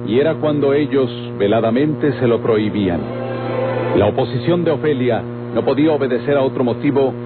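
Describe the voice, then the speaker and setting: A man's voice: medium pitch, a little fast. A man with a Mexican accent delivering scripted dramatic narration for a golden-age radio serial. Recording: tinny old radio recording, loud music.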